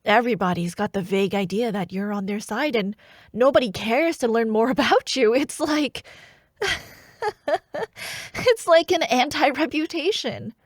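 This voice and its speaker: A woman playing a scheming young royal princess in an intimate ASMR fantasy roleplay, speaking in a hushed, teasing, haughty way. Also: fairly high pitch, a little slow, no room sound, studio quality. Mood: amused, intrigued.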